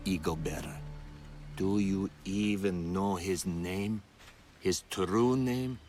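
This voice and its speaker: A sinister male hunter from a video game. His deep voice moves slowly and swings with mocking, theatrical menace, while faint music plays behind him. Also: exaggerated voice. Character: sinister, calm male villain, taunting cult hunter. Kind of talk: video game villain acting.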